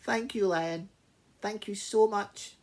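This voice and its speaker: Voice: mid-range voice, takes it slowly, voice pushed up, natural voice. A storyteller voicing a tiny, polite female mouse, earnest and eager, in a small voice for a children's story. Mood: grateful, hopeful.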